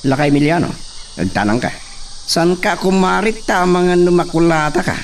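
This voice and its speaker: An arrogant young villain of the landed gentry in a radio drama. His slightly high voice swings widely in menace, with light noise and insects behind it. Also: expressive, moderate pace. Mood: taunting, threatening.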